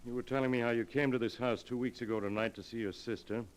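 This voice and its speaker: An actor playing a blunt police inspector in a vintage radio drama, asking brisk, direct questions. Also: male voice, mid-range voice, steady tone, lightly acted. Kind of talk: 1940s radio acting.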